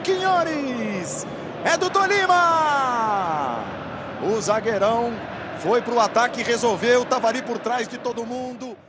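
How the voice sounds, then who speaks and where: A Brazilian man calling football goals on a broadcast, shouting excited goal calls. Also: extremely high pitch, takes it slowly, very noisy. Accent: Brazilian Portuguese. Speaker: play-by-play commentator. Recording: broadcast over loud stadium crowd. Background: roaring stadium crowd.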